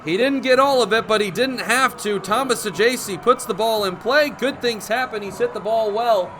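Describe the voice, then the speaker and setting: A young man delivers live baseball commentary for radio. His voice sits high and has a sharp, forceful brightness, and he describes the action in short, clipped phrases. Faint ballpark noise runs behind him. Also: normal speed. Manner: narrates action in short clipped phrases, building to excited calls. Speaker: baseball play-by-play announcer.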